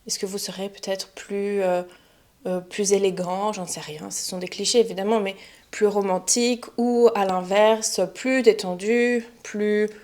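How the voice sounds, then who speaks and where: A young woman, a French teacher, giving a relaxed spoken lesson to learners, chatty and often pausing with fillers and restarts. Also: medium pitch. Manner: chatty, many fillers and self-corrections.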